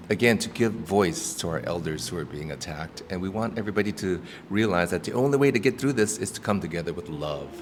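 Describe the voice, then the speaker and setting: A man, an actor and activist, talking earnestly and reflectively in a casual interview, with frequent restarts and ums. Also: low-pitched. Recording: roomy reverb with background noise.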